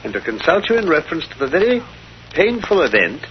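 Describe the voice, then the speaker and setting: An actor playing an assured, cerebral detective in a 1930s radio drama, with precise, formal, theatrical diction. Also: male voice, fairly high pitch, very animated, fairly quick. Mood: reading aloud. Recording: dull, noisy 1930s radio recording.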